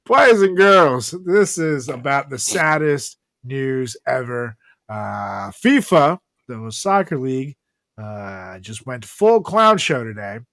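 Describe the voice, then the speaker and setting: A man's voice: mid-range voice, big pitch swings, slow pace, a bit bright, no echo. A man hosting a comedy podcast with casual, profane banter and theatrical announcer flourishes. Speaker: podcast host.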